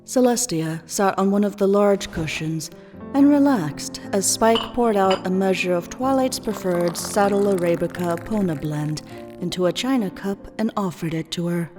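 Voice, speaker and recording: A woman narrating a scripted fan fiction story in a measured storybook style. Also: medium pitch. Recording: long reverb, music behind.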